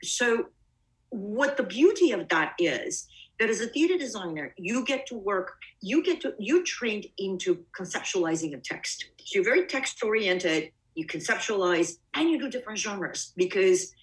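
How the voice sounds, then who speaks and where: A woman's voice: medium pitch, very animated, moderate pace, distant mic, low-quality recording. A woman from Bulgaria, a production designer being interviewed, talking reflectively with frequent restarts. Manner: reflective, many restarts and "I mean". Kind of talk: conversational interview.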